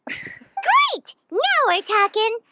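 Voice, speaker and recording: Casting: tiny chirpy childlike game sidekick, sky-high and swooping, bubbly, music underneath. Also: female voice, huge pitch swings, drawn-out words, very noisy, heavily acted. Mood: cheerful. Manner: bubbly, emphatic, speaks of self in third person. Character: small chirpy childlike female fairy companion, eager and food-loving. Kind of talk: video game character dialogue. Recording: game audio with music behind.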